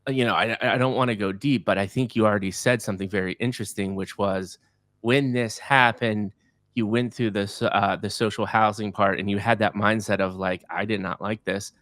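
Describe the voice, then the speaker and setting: Effusive male podcast host in his forties, low voice, dry close mic, quick to repeat himself. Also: no echo. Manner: energetic, effusive, repeats words when excited. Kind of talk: enthusiastic podcast interviewing.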